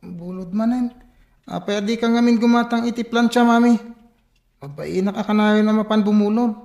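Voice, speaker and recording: A woman's voice: mid-range voice, moderate pace, warm tone, roomy sound, natural voice. A woman with a Filipino accent playing a reluctant, embarrassed child in a comedy radio drama, with a whiny manner.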